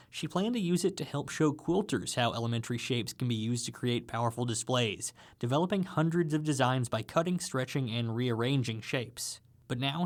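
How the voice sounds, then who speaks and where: A man's voice: mid-range voice, a little mellow. A man narrating a scripted radio feature as a reporter.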